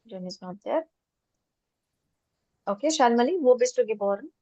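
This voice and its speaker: A woman's voice: on the high side, lively intonation, moderate pace, warm tone, dry recording, lo-fi sound. A woman teaching a German class online, patiently explaining step by step and mixing English with German.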